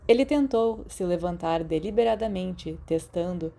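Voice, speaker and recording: A woman with a Brazilian accent reading a story aloud as an audiobook narrator, in an expressive scripted style. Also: lowish voice, normal speed, vocal fry.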